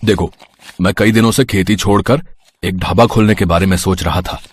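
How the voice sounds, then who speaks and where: A man's voice: low-pitched, steady tone, normal speed, slightly rough. A man playing a kindly, humble village husband and father in cartoon story dialogue. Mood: earnest, encouraging.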